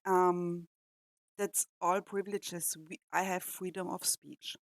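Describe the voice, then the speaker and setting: Austrian woman w german accent, slow n hesitant, kinda low voice, dry close sound. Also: flat delivery, no room sound. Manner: halting, searching for words, many fillers. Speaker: reaction video host. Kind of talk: casual reflective commentary.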